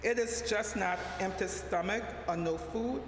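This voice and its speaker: A middle-aged woman from Liberia, reading a sermon in a measured, deliberate way with a few restarts. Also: medium pitch. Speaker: lay preacher, social worker. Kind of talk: sermon read from a prepared text. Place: church sanctuary. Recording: echoey church hall, faint background hum.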